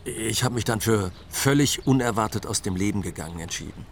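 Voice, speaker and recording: Blunt wry guy telling his side, lowish voice, dry, interview style radio play. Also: lively intonation, brisk, a little hiss or hum. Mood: dry, exasperated. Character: blunt, wry male friend recounting funeral arrangements. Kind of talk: interview-like radio drama narration.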